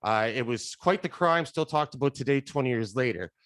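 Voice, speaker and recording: A man's voice: mid-range voice, animated, fairly quick, a bit bright, no echo. Casual friendly podcast host man. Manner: casual, friendly, loose with fillers. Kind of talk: podcast interview hosting.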